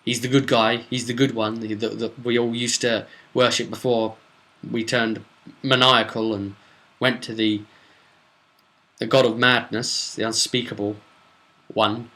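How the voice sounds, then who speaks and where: A man's voice: medium pitch, little pitch movement, measured pace, long pauses, pushed and bright. A man voicing an apologetic serpent person in disguise from a tabletop game, pleading earnestly and repeating himself as he explains. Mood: earnest, reassuring. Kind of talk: tabletop role-play retelling.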